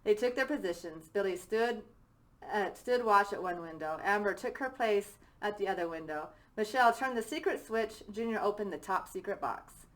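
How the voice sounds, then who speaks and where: A woman's voice: medium pitch, normal speed, poor audio. A woman teacher reading a children's story aloud as a narrator.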